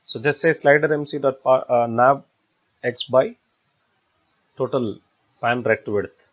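A man giving a step-by-step software tutorial in a halting, repetitive way. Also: medium pitch. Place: voice-over for screen recording. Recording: dull, lo-fi screencast audio.

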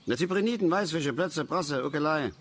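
A man's voice: fairly high pitch, expressive, fairly quick, close to an everyday voice. An actor playing an embittered, touchy middle-aged male angler who rambles and grumbles irritably in a radio play. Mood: irritated. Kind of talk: German radio play acting.

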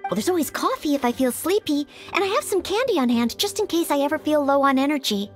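A woman's voice: high voice, lively intonation, fast talker, faint music behind. A woman voicing an earnest, anxious young maid who dreams of knighthood in a video game, speaking politely and hesitantly. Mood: earnest, determined. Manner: polite, hesitant, self-doubting.

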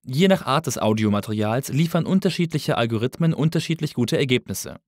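A man reading a scripted tutorial as an instructor, in a measured, didactic manner. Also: mid-range voice, animated, a little mellow, no echo, studio quality. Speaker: audio engineering instructor. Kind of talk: scripted lesson narration.

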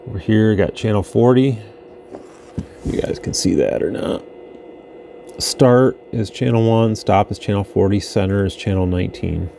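Male demonstrator, low and mellow, off-the-cuff delivery, audible noise behind him. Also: normal speed, creaky. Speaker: radio product demonstrator. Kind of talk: casual unscripted hands-on demo narration. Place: indoor electronics workbench. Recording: noticeable background noise.

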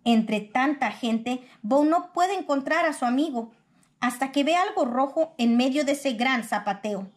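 A woman with a high voice reads a children's picture book aloud. Her delivery is expressive storybook narration. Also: a little slow.

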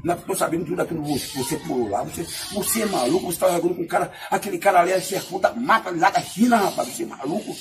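A man with a Brazilian accent telling a comic anecdote in casual chat, excitable and repetitive, acting out sound effects and dialogue. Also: sits high, very fast, hardly any pauses, a little hiss or hum. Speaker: comic storyteller.

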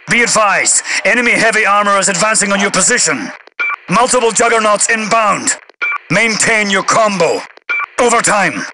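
An actor playing a stern British major general in a video game, giving clipped, commanding orders through a radio filter. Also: male voice, sits high. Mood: urgent warning, then terse announcing. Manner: clipped, commanding, formal military brevity. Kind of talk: video game voice-over, military radio announcements. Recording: radio crackle and heavy noise.

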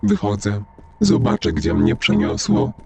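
A man with a Polish accent narrates a video game in short remarks. His voice is lowish and heavily creaky, with a husky edge. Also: wildly swooping pitch, measured pace, mellow. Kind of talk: gameplay commentary in short remarks.

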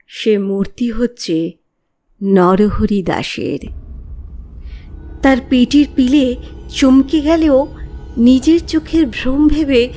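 Female audiobook narrator, high voice, measured dramatic horror storytelling over faint music. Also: a little mellow.

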